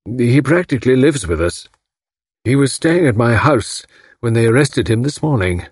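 A man voicing a haggard, greying gentleman in an audiobook, stubbornly loyal and indignant, with a formal, pained, emphatic delivery. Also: mid-range voice, animated, moderate pace, breathy edge, no room sound, clean studio sound, pitched above the performer's own voice. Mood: pained, insistent. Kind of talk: audiobook character acting.

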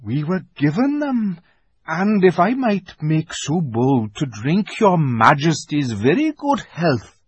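A man's voice: fairly high pitch, very animated, slow pace, slightly rough, no echo, pitched above the performer's own voice, exaggerated voice. A man voicing an old, timid male fox who speaks politely and stammers. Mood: frightened. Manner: stammering, deferential.

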